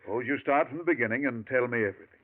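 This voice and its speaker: An actor playing a calm, patient male psychiatrist in a 1940s radio drama. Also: medium pitch, racing, lightly acted. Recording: tinny noisy old radio broadcast.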